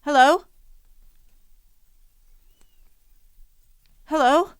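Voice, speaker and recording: A woman playing a frightened, distressed middle-aged woman in an audio drama, halting and pleading. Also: high-pitched, dry recording, clean studio sound, close to an everyday voice. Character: frightened, distressed adult woman haunted by a stalker.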